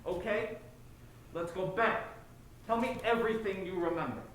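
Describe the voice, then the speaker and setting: The brooding ghost of a hard-boiled male detective acted by a young stage performer speaks in clipped, world-weary noir lines. The voice sits at a middling pitch. It echoes around the stage over faint background noise. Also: moderate pace, natural voice. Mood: wry, subdued. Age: teenager. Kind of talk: high school stage play acting.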